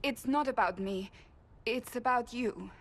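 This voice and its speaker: A woman's voice: on the high side, a little slow, a bit bright. An actress playing an earnest, formal young woman who speaks hesitantly to a friend.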